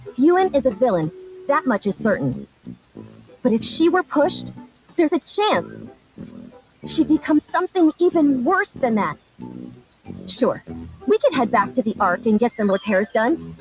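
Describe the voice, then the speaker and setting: A woman's voice: a little high, big pitch swings, unhurried, pauses often, faint music behind, low-quality recording. A woman playing a boastful, childlike hero girl in a video game, with a theatrical, goofy delivery. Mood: earnest.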